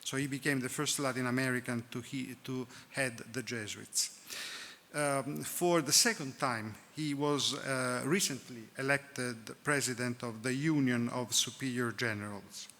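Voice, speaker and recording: Host intro, lots of uhs, bright voice, hall reverb. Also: male voice, medium pitch. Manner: halting, many ums and uhs. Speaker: event host introducing the speaker. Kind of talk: formal introduction with fillers.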